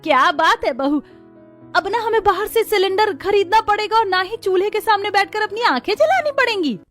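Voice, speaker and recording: A woman voicing a poor, grateful older village mother-in-law in expressive cartoon dialogue. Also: very high voice, brisk, faint music behind. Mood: surprised, delighted.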